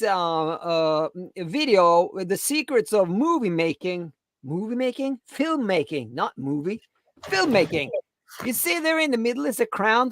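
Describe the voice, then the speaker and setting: A woman chatting casually on a livestream, blunt and informal, repeating words for emphasis. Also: medium pitch. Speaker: livestream co-host. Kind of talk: casual livestream chat, explaining and bantering.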